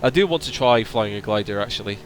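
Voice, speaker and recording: A man streaming a flight simulator, chatting casually and answering viewers' questions while his voice starts to strain and go hoarse. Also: fairly low pitch, animated, fast, slight background noise. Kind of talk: casual livestream chat.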